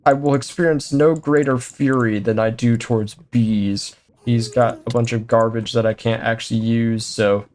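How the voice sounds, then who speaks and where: A man talking his way through a game session in a loose, conversational way, speaking partly to himself and partly to the game. His voice sits in an ordinary middle pitch, and he breaks into quick excited exclamations and wry jokes. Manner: chatty, talks to himself and to the game, jokey asides. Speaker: let's play host. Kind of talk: casual gameplay commentary.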